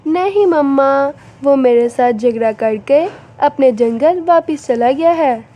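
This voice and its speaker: A woman's voice: high-pitched, lively intonation, slow pace, dark tone. A woman voicing a small, plaintive young bird child in a children's cartoon. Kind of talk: children's cartoon story acting.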